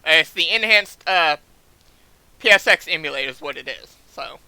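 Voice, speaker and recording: A man chatting casually as he streams a game, speaking haltingly and often repeating words as he restarts sentences. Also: a little high, expressive, sharp tone, clean tone, no room sound, poor audio. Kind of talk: casual livestream chat over gameplay.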